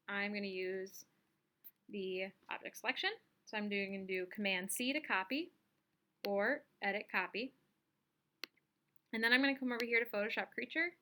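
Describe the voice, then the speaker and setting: A woman giving a casual step-by-step software tutorial, thinking aloud as she demonstrates each action. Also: fairly high pitch, slight background noise. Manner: informal, instructional, thinking aloud while demonstrating. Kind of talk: casual step-by-step screen-recorded lesson.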